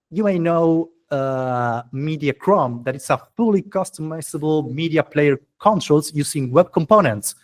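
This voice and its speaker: Enthusiastic man hosting, English speaker. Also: on the high side. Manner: enthusiastic, chatty, non-native English phrasing. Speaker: meetup host and presenter. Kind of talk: casual, informal event presentation.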